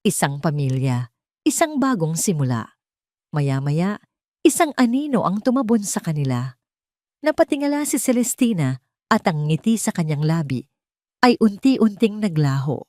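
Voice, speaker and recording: A Filipina woman narrates a scripted dramatic story. Her voice sits a little low and is soft-edged and mellow, recorded very dry with no room sound. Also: very animated, moderate pace, long pauses, no echo.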